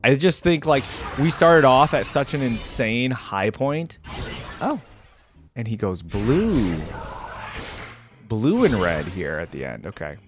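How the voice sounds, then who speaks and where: A man's voice: mid-range voice, big pitch swings, takes it slowly, over music. A man giving casual, off-the-cuff reaction commentary as a video host, thinking aloud in an informal, exclamatory way.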